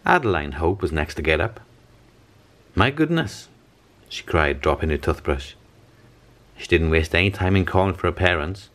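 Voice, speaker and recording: Deep-voiced man reading a storybook. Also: a little fast. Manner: animated, dramatic storytelling. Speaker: storybook reader. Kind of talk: expressive picture-book narration.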